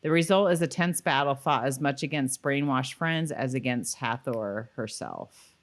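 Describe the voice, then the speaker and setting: A woman's voice: rumbling low, normal speed. A woman co-hosting a podcast, talking informally as she goes over a TV episode. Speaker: podcast co-host. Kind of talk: casual chat recapping a TV episode.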